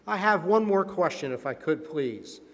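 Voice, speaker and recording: A man's voice: a little high, expressive, normal speed, natural voice. A man playing a courteous, methodical board investigator who puts formal, probing questions in a scripted role-play. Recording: reverberant meeting hall.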